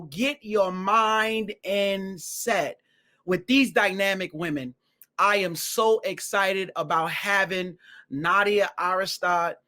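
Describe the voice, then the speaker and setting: An enthusiastic man hosting an online interview program with a fairly high voice for a man. He keeps the energy up and leans on repeated phrases to stress his points. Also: sharp tone. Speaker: host.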